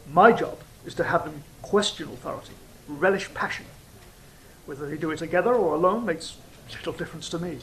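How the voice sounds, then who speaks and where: An actor playing a sly, cynical fallen angel in an audio drama, speaking in a measured, philosophical, teasing way. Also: medium pitch, slight background noise, close to an everyday voice, low-quality recording. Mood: worldly, dismissive. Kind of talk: theatrical audio drama dialogue and monologue.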